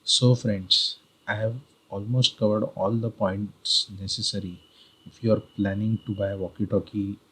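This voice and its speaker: A man with an Indian accent narrates a tutorial. His voice sits on the low side and has a husky grain. He speaks deliberately, restating key points like a careful instructor. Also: little pitch movement, a bit bright, rough recording. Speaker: video guide presenter.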